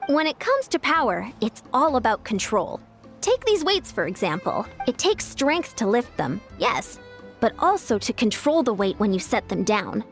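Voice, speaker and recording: An assured, encouraging female fitness coach from a video game. The voice is high and instructive, with very lively intonation and faint music behind. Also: normal speed. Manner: confident, instructive. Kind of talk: video game character acting.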